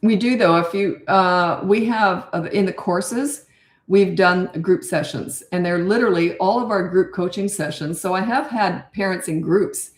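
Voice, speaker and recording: An older woman, a parenting coach, talking blunt and chatty with a raspy voice on a live-stream Q&A. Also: on the low side, normal speed, roomy sound.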